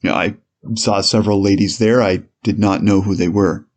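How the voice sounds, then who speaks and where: A man playing an 1890s police officer on the witness stand, giving measured, formal, slightly hesitant testimony in a dramatized courtroom reading. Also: lowish voice, steady tone, natural voice.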